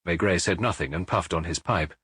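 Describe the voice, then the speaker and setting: A man's voice: deep voice, fairly quick, a bit bright, breathy edge, no echo, clean studio sound. A man narrating a detective novel as a scripted audiobook.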